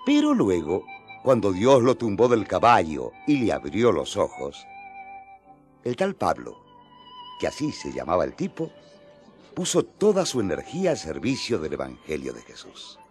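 An actor playing an apostle who recounts his memories as a colloquial, expressive narrator in a radio drama. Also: low voice, normal speed, soft background music. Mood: rueful, animated.

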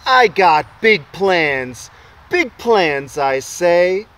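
A man voicing a bossy, boastful little boy from a storybook, proclaiming loudly and emphatically. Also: high voice, big pitch swings, very slow, heavily acted. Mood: boastful, commanding.

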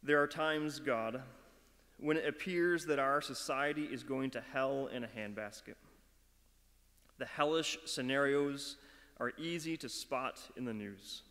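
A middle-aged man leading a school chapel talk and prayer from a lectern, speaking in a measured, earnest way with some halting fillers. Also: mid-range voice, a bit bright. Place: dark auditorium stage at a lectern. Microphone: mic on the lectern. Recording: long hall reverb.

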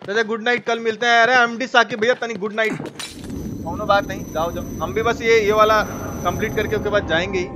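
High voiced guy w bihari hindi accent streaming a game, slangy and sweary, noisy game audio behind. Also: fairly even intonation, a little fast. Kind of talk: live gaming stream commentary with chat shout-outs.

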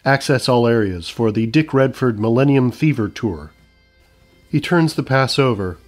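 A man's voice: mid-range voice, normal speed. A man reading scripted narration for a comedy audio drama. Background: music.

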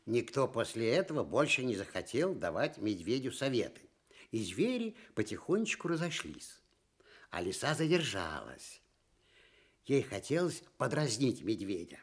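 A man narrating a children's fairy tale for radio as an expressive, scripted storyteller. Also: mid-range voice, normal speed, breathy edge.

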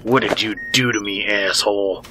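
An actor in an audio drama playing a cynical, defiant outlaw war hero, surly and curt. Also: male voice, lowish voice, fairly even intonation, moderate pace, very noisy, close to an everyday voice. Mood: irritated, wary. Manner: surly, laconic, curt, foul-mouthed.

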